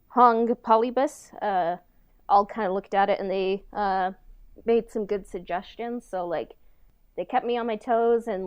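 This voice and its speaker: A woman hosting a casual podcast chat, talking haltingly and often trailing off. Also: medium pitch, darkish tone, smooth, dry recording. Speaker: podcast host.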